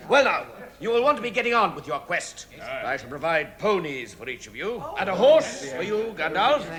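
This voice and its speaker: An actor playing a hearty, gruff giant of a man in a vintage radio drama, booming and emphatic. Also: fairly bright tone. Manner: booming, emphatic, swooping from hearty greetings to grave warnings. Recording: vintage radio drama with animal sounds behind.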